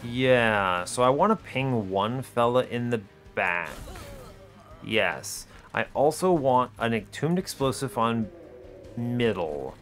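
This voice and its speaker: Male gaming host, mid-pitched, casual and analytical, talking through decisions with faint music underneath. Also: expressive, takes it slowly, a bit bright. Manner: explains his reasoning as he plays, self-questioning. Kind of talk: casual strategy game commentary, thinking aloud. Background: music behind the voice.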